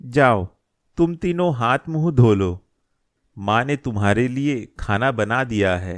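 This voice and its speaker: A man's voice: medium pitch, animated, measured pace, natural voice. A man voicing an elderly grandfather in a cartoon moral story, a plain-spoken shopkeeper who grumbles about his son. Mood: welcoming.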